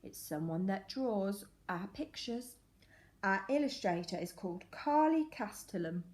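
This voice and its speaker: A woman teacher reading a picture book to young children, telling the story expressively and putting questions directly to her listeners. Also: medium pitch. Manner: questioning, addressing children directly, clearly paced. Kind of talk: animated children's storytelling and lesson. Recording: echoey room.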